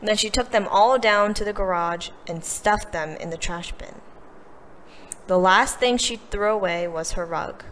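Woman, mid-pitched voice, expressive picture-book read-aloud, acted-out dialogue, noisy recording. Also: unhurried, big-room sound. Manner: animated delivery, acting out the characters' quoted lines. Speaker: storybook reader. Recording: clearly noisy background.

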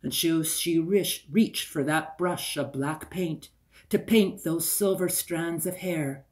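Male storyteller, 60s, unhurried and deliberate delivery, theatrical folktale narration. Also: a little high. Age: older, 60s. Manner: deliberate, repeats phrases for effect. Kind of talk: dramatic oral folktale storytelling. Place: small room indoors by a window.

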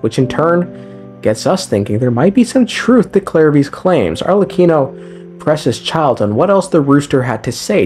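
A man's voice: a little high, darkish tone. A young man narrating a casual, scripted game story recap with wry, irreverent, slangy asides. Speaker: game lore video narrator. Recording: music under the voice.

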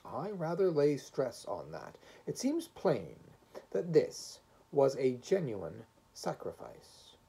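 A reader voicing a gentle, patient advocate who pleads kindly and reasonably in measured phrases. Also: medium pitch, huge pitch swings, gravelly, breathy edge, full character voice. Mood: kindly, reasoning.

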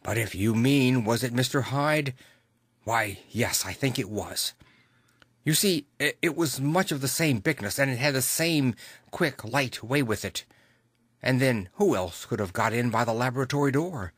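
A man's voice: medium pitch, moderate pace, a bit bright, breathy edge, dry recording. A man voicing an anxious, superstitious Victorian butler in an audiobook, hesitant and respectful. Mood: uneasy, hesitant. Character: anxious, deferential Victorian male butler, plain-spoken and superstitious.

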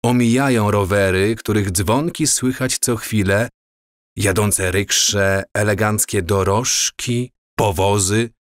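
A man playing a dreamy, awestruck wanderer in an audio drama, with a measured, wistful, descriptive delivery. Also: on the low side, no echo, studio quality.

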